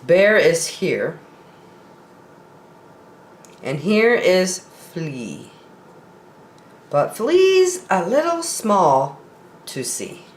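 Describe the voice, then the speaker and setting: A woman's voice: lowish voice, very animated, extremely slow, long pauses, a little hiss or hum. A middle-aged woman reading picture books aloud expressively for young children, in a roomy, echoing home recording.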